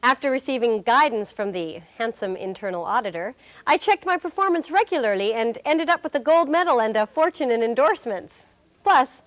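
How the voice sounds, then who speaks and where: A woman acting as a wry, cheerful presenter, giving scripted instruction in a corporate training film. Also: high-pitched. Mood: playful.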